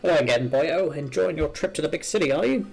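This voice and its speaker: A man's voice: a little high, expressive, fast talker, gravelly, slightly rough, voice pushed up, full character voice, poor audio. A chatty woman from a video game, acted out by a man, with a lifted, singsong voice. She spins everything into a dramatic tale. Game music plays behind her. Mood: chatty, teasing. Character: chatty Welsh woman, nosy and dramatic storyteller.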